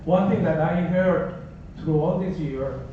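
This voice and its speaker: A man's voice: fairly high pitch, unhurried, a little hiss or hum. Man with non-native accent, echoey hall. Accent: non-native English. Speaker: resident speaking at public comment. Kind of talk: public comment at town meeting. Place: town office meeting room. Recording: echoey hall, distant mic, dull.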